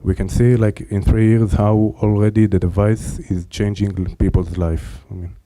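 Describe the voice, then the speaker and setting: A man answering panel questions about his startup in an earnest, halting way with many fillers. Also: lowish voice, flat delivery, warm tone, no echo.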